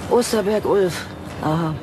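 An actress playing a weary, brusque police detective in a German crime radio drama. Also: female voice, lowish voice, measured pace, background noise, natural voice. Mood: businesslike.

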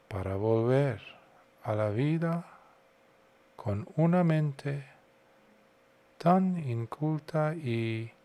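A man guiding a meditation as a monk would, unhurried, in short phrases separated by long pauses. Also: medium pitch, a little mellow. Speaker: Buddhist monk, meditation guide. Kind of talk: guided meditation talk.

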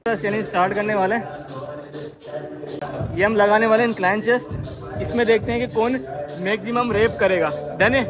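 A man's voice: high voice, steady tone, brisk, long pauses, music in the background, rough recording. A man in his twenties chatting casually and counting reps as he vlogs in a gym. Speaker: gym vlogger. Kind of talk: casual vlog chat and rep counting. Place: busy gym, indoors.

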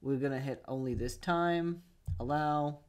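A man's voice: fairly high pitch, a little slow, smooth. A young man presenting a conversational step-by-step tech tutorial, explaining and often checking in with the listener, recorded on a close desk microphone in a small studio. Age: young adult. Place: small home studio with acoustic foam.

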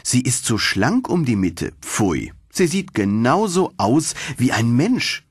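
A man's voice: medium pitch, sing-song, slow, husky, dry recording, clean studio sound, full character voice. A man voicing snobbish, catty female beetles with sneering disdain in a storybook. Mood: disdainful.

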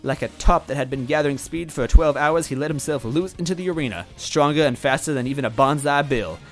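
A man's voice: on the high side, fairly quick. A man giving a playful, dramatic reading of fan fiction, with wry asides. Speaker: storyteller. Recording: faint music underneath.

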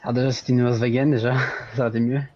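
A man's voice: medium pitch, level tone, racing, crowd noise. A man chatting casually in an easygoing way while playing a police commander in an online roleplay. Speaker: player acting as police commander. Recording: dull gamer voice chat with background noise.

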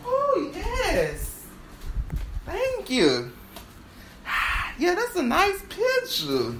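A very high, wildly swinging voice from a woman acting as a sassy, boastful divorced wife in a stage play. The character rambles slowly, tripping over repeated words in a halting monologue. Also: huge pitch swings, noisy background, heavily acted. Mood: admiring, musing. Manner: stammering, repeats words, sighs and laughs often.

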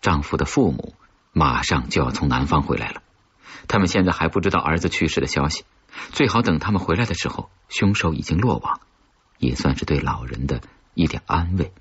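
A man's voice: very deep voice, animated, fairly quick, fairly bright tone, breathy edge, muffled recording. A man reading a suspense novel aloud as a scripted audiobook, with dramatic storytelling delivery. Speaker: audiobook narrator.

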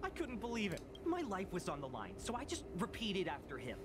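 A man's voice: high voice, wildly swooping pitch, a little fast. A man voicing a jaded, whiny young office worker in a video game, complaining with exasperated drama. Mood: incredulous, mocking. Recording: game audio with clear background noise.

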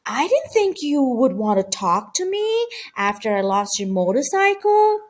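Storybook young male mouse, earnest and hesitant, animated high voice female reader. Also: unhurried, full character voice. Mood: sheepish. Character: young boy mouse, eager, sheepish, restless to grow up. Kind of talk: children's storybook character reading.

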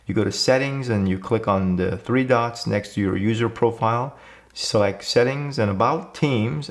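A middle-aged man hosting a tech channel, explaining software features in an upbeat, casual tutorial style from a home office. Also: fairly low pitch. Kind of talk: screen-share tutorial walkthrough.

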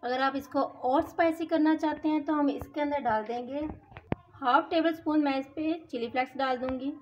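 High-voiced urdu-accented lady reading off spoons of stuff, muffled lo-fi audio w/ a little hiss. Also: moderate pace. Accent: Pakistani Urdu. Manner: lists amounts one by one. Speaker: cooking channel host. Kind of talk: step-by-step recipe voice-over. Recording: dull lo-fi with faint hiss.

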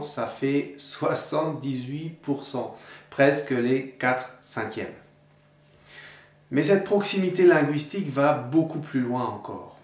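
A middle-aged man speaks to camera from a desk in an indoor room. He explains in a measured, teacherly way and talks to the viewer as a friend. His mid-range voice carries a noticeable room echo. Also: mid-range voice. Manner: measured, addresses viewer informally, reads out paired words. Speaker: video lecturer on the dialect. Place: indoor room with books on a table. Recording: roomy echo.

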